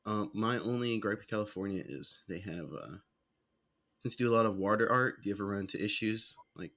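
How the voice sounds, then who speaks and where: A man's voice: fairly low pitch, fairly quick, fairly bright tone. A man hosting a podcast, asking curious, easygoing questions and reacting with surprise. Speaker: podcast host. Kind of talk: casual interview chat.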